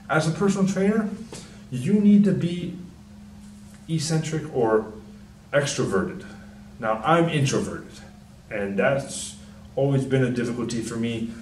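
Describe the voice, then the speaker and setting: A young man, a personal trainer, giving casual, rambling fitness advice in a deep, soft-spoken, mumbling voice, swearing freely. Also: a little slow, pauses often, echoey room, far from the mic, slight background noise, low-quality recording. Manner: laid-back, rambling, frequent swearing and fillers. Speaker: personal trainer and channel host.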